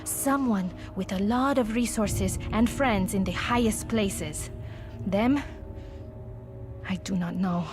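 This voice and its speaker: A woman's voice: medium pitch, takes it slowly, lightly acted. A woman playing an embattled, distrustful field operative in a video game, with a Spanish accent and an earnest, weary, dramatic delivery. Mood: grave, suspicious. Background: music.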